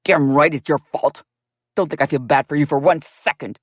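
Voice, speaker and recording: A woman's voice: sits low, normal speed, breathy edge, no room sound, studio quality. A woman reading an audiobook voices an angry, bitter man who spits his words with contempt. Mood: contemptuous. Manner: spitting, contemptuous, harsh.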